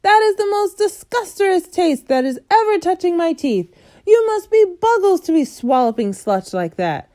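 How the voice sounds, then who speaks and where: A brutish man-eating giant , put on by a woman reading aloud, roars and bellows in mangled grammar, his voice swinging wildly in pitch. The tone stays dark and mellow under the shouting, a storybook bully through and through. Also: big pitch swings, measured pace. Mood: roaring, raging. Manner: bellowing, coarse, broken grammar. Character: greedy brutish male giant, bullying and loud.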